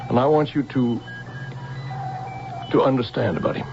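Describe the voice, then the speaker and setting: An older, protective American scientist in a vintage radio drama. His voice swings expressively and has a gravelly grain, gentle and earnest with hesitant pauses. The recording is dull, like an old broadcast. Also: mid-range voice, lively intonation, noisy background. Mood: tender. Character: protective older scientist, tender fatherly guardian to a young woman.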